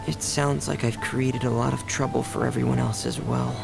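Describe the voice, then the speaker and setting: An actor playing a shy, soft-spoken young male inventor in an anime video game, hesitant and polite. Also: medium pitch, little pitch movement, moderate pace, creaky. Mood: apologetic, polite. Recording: game music behind the voice.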